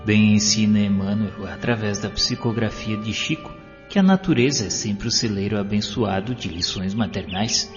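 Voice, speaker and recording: A low-pitched man recites devotional prose and verse in a solemn, declamatory way, drawing out his phrases. His voice sits in a long, hall-like reverb. Music plays clearly behind him. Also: normal speed, few pauses, highs cut off. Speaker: audiobook narrator. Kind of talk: scripted devotional narration with poetry recitation.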